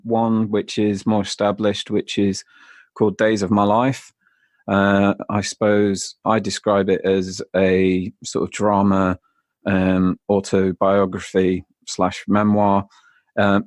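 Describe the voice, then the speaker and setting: A man speaking as a podcast guest, recounting personal experience in a reflective, halting way. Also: fairly low pitch, a little mellow, no echo. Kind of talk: personal storytelling in interview.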